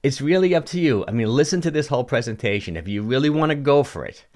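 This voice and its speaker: A man in his sixties hosting a fitness livestream, talking casually and answering viewer comments, animated and prone to quick restarts. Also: mid-range voice. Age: 60s. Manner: animated, talks with his hands, frequent restarts and repeats. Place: indoor home studio with colored lights. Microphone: large studio mic on a boom in front of him.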